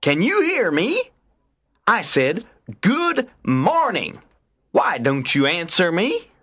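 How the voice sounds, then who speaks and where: A man voicing an excitable, friendly male rabbit in a storybook, with a strained voice and exaggerated, drawn-out exclamations. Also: high voice, slightly rough, pitched above the performer's own voice, heavily acted. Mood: indignant. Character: friendly male rabbit, excitable, indignant, pleading when in trouble. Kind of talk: storybook character voice.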